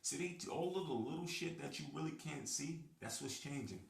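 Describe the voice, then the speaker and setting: A man's voice: on the high side, animated, moderate pace, creaky, roomy sound, far from the mic. A man giving a slangy, emphatic vlog monologue to his viewers, repeating his points. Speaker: video blogger. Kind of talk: casual street-talk monologue to camera.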